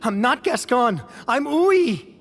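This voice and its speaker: A woman's voice: sits high, very animated, slow, heavily acted. A woman voicing a desperate accused prisoner in a video game, pleading and insistent.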